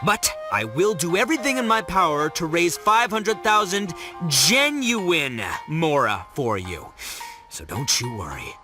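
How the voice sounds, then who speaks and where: A man's voice: fairly high pitch, very animated, measured pace, over music. A man voicing a brash, dim but big-hearted young gang boss in a video game, bombastic and slangy. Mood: dramatic, earnest. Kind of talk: animated video game voice acting.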